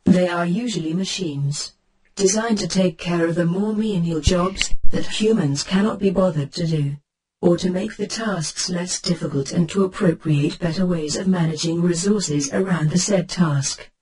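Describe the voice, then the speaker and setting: High, husky ship computer played by a man. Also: moderate pace, darkish tone, recorded from a distance, low-quality recording. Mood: formal, informative. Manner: formal, precise, declarative. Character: ship computer assistant, formal encyclopedic know-it-all. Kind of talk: scripted encyclopedia-style voice response.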